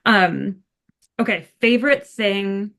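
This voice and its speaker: A woman's voice: mid-range voice, lively intonation, slow, fairly bright tone. A woman co-hosting a podcast, asking rambling questions in an enthusiastic, chatty way. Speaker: podcast co-host. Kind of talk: casual interview chat.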